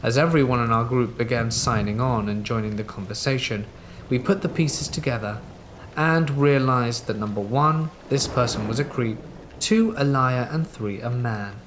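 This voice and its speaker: A man narrating listener-submitted true scary stories in a steady, even reading. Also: medium pitch, normal speed, a little hiss or hum. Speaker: horror story narrator.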